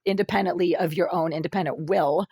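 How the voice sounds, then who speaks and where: A woman talking alone to camera in her apartment, in an intense, accusing monologue of long run-on sentences, with a raspy voice. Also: lowish voice, fast, mellow, dry recording, clean studio sound. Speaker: vlogger. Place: her apartment, sitting in the dark.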